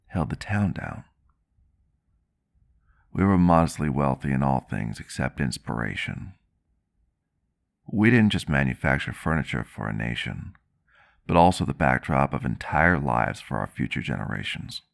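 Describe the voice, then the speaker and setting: Gentle vampire doctor, male, played by a man, intimate ASMR roleplay. Low register with fry, reflective, formal phrasing. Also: heavily acted. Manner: measured, reflective, formal wording. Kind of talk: ASMR roleplay, soft intimate storytelling to the listener.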